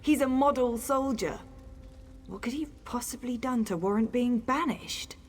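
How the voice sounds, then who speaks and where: A woman's voice: on the high side, normal speed, natural voice. A woman playing a wary, sardonic young fugitive in a fantasy video game, with a wry and feisty manner. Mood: disbelieving, troubled. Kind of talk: video game character dialogue.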